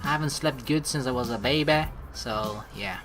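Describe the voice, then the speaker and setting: A woman's voice: a bass voice, moderate pace, a bit bright. A woman hosting a let's play, joking and exclaiming as she comments and reads game text aloud. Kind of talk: gameplay commentary mixed with reading game narration aloud. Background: game music.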